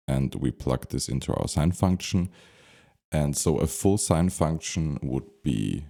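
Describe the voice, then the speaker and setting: A man giving an unscripted software tutorial, thinking aloud step by step as he instructs. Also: very deep voice, gravelly.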